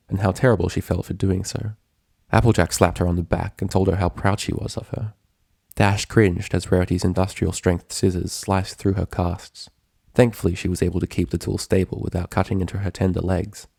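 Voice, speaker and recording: A man's voice: sits low, gravelly, dark tone, husky, no echo, clean studio sound. A man reading a piece of fiction aloud as scripted story narration. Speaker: fan fiction reader.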